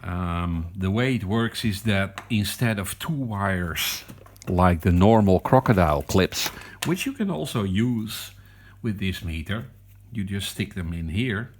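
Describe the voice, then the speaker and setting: A Dutch man, a non-native English speaker, demonstrating a meter at his desk in a hesitant, halting way. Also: sits low, lively intonation. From Netherlands. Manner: hesitant, halting, with many ums and restarts. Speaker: hobbyist reviewer. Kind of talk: casual product demonstration. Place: desk at home.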